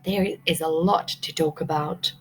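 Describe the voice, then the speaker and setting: A woman's voice: deep voice, steady tone, measured pace, soft background music, rough recording. A woman teacher reading a picture book aloud to young children, expressively, prompting them with questions.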